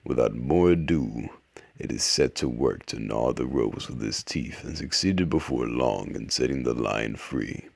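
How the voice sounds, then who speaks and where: A man playing an old man narrator, reading storybook fables slowly and deliberately in a raspy voice. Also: very deep voice, lively intonation, darkish tone, dry recording, heavily acted. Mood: solemn, unhurried.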